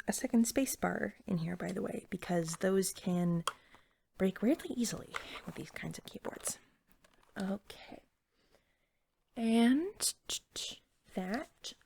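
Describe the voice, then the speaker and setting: A woman acting as a friendly young-sounding shop cashier in an ASMR roleplay, with a creaky edge to her soft voice. She drifts between gentle speech and whispering, rambling helpfully and eagerly as she serves a customer. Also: medium pitch, heavily acted. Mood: friendly, chatty. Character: eager, friendly female cashier at magical pet store.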